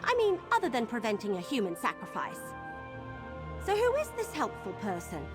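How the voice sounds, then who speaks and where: A scatterbrained young adventurer woman talks in a high, swooping voice. She is breezy, bubbly and friendly, a fantasy game companion with music playing behind her. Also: wildly swooping pitch, moderate pace. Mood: cheerful, eager.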